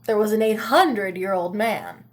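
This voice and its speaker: A woman reading a children's story aloud in a dramatic, expressive style with deliberate pauses. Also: mid-range voice. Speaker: storybook reader. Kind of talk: animated children's read-aloud.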